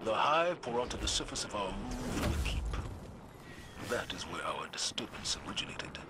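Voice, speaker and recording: An actor playing a stern, resolute military commander in a video game, speaking in a measured, authoritative and grave way. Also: male voice, on the low side, sing-song, heavy background noise. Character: stern, resolute male military commander rallying his troops. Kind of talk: video game voice acting, solemn military briefing.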